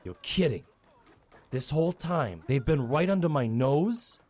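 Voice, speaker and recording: An actor playing a kindly small-town mayor in an audio drama, talking in a folksy, informal, reassuring way. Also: male voice, a little high, big pitch swings, measured pace, a little mellow. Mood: eager, informal.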